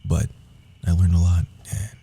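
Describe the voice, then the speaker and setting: A man playing a proud, wounded young rogue assassin in an intimate ASMR roleplay, speaking in a hushed, confiding way with dramatic pauses. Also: deep voice, level tone, full character voice. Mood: wry, proud. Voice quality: husky, breathy, often dropping to a whisper.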